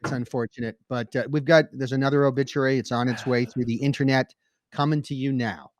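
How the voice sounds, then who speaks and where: A man co-hosting a comedy podcast, talking casually in a deadpan, hesitant and earnest way. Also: mid-range voice, fast, a little hiss or hum. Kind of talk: casual scripted-premise banter.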